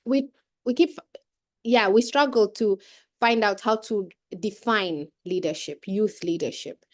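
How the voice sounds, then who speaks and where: A young woman with a cold speaks over a video call, her voice at an ordinary middle pitch. She talks in a loose, chatty way, full of fillers and restarts, as she gives her keynote. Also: measured pace. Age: young adult. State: has a cold. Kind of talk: casual speech on a video call.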